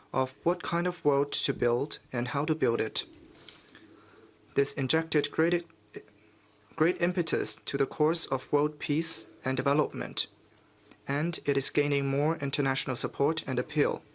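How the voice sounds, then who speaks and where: A man interpreting official statements live at a press conference, in measured, formal phrases with halting breaks. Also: a little high.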